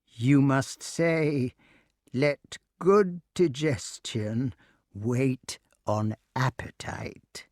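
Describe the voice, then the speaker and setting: A storyteller voicing a calm, reluctant talking goat in a measured, hesitant way. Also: mid-range voice, dry recording, studio quality.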